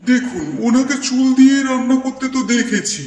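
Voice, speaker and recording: A man's voice: very high voice, a little fast, darkish tone. A man playing a jealous, vengeful village stall owner in a cartoon, speaking emphatically and insinuatingly. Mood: slyly insinuating. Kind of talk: cartoon moral-story dialogue. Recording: echoey, distant, faintly noisy hall sound.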